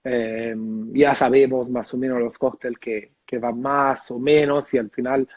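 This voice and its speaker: An Italian-accented man talks about his bar in an easy, halting way, with lots of "eh" and "¿no?". His voice is muffled and dry, with no room echo, as if he is on a video call. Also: medium pitch, level tone. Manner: hesitant, many fillers and tag questions. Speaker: bartender guest. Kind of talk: casual interview over video call.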